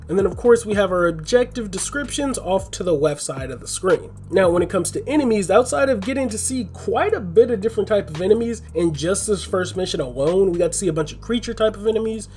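A man's voice: high-pitched, a little mellow. A man hosting a gaming channel, explaining gameplay in an informal commentary style.

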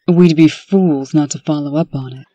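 A woman voicing a reserved, authoritative fairy-tale princess in a comic dub, with measured, formal delivery. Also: deep voice, mellow, no room sound, highs cut off. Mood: businesslike.

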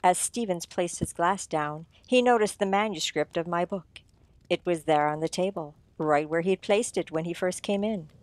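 A woman's voice: lowish voice, normal speed, a little mellow, dry recording. A woman narrating a suspense radio play in a dramatic, scripted storytelling style.

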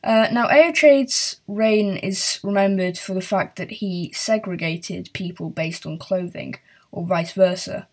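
Woman doing a history explainer at home, breathy voice, keeps saying uh and sorry. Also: mid-range voice, a little slow. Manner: hesitant, many fillers and apologies. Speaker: history video host. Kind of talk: casual explainer narration. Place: house with work going on downstairs.

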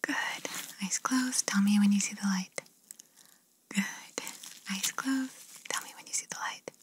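A woman whispering slowly and softly as she plays an examiner in an ASMR roleplay, repeating gentle praise into a close binaural mic. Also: mid-range voice. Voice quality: whispering, mostly unvoiced. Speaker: ASMR creator playing an examiner.

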